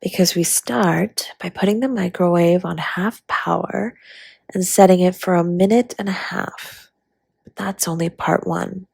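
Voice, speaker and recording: A woman playing a flirty, doting dragon baker spouse in an intimate romantic audio roleplay, speaking in a husky, breathy, teasing way that often drops to a whisper. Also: fairly low pitch, fairly even intonation, measured pace, darkish tone, no echo, heavily acted, rough recording. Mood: playful, instructive.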